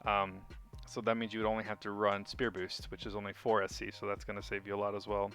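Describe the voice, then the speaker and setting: Low-voiced man casually explaining a game. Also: completely flat delivery, fast talker, a bit bright, soft background music. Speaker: gaming video host. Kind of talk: casual game guide commentary.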